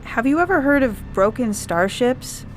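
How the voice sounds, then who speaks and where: A woman's voice: sits high, animated, moderate pace, a little hiss or hum, pitched above the performer's own voice. A woman voicing an earnest, insistent young girl telling her account in a dramatised story reading. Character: young girl witness, earnest, recounting alien visitors. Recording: music bed under the reading. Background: music.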